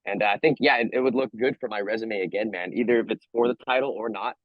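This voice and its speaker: A man's voice: lowish voice, fast talker, no room sound, phone-quality sound. An American man, a fighter being interviewed, chatting casually in slang and often repeating himself. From Hawaii, USA.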